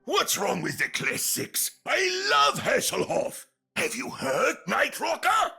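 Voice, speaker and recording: An actor playing a boisterous, jovial older male warrior in a video game, with a gravelly, booming voice. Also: wildly swooping pitch, takes it slowly, bright, forceful tone, soft background music, exaggerated voice. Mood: jovial, indignant. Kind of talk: video game character voice acting.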